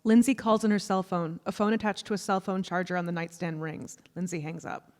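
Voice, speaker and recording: A woman's voice: lowish voice, steady tone, a little fast, darkish tone. A woman reading stage directions as scripted narration at a live play reading. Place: theatre stage before a live audience. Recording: live theatre stage, long hall reverb.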